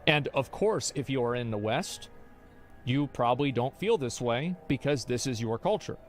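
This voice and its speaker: A man's voice: medium pitch, expressive, moderate pace. Argumentative man streaming with faint music. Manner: rambling, argumentative, restarts often. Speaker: streamer reacting to chat. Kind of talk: casual opinion talk.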